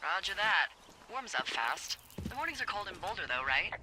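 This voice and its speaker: An actress playing a wry, teasing fire lookout supervisor in a video game, talking casually and dryly over a walkie-talkie. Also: female voice, mid-range voice, big pitch swings, moderate pace, sharp tone, a little hiss or hum. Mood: dry, practical. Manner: dry, playful, conversational. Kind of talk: naturalistic video game voice acting, casual banter.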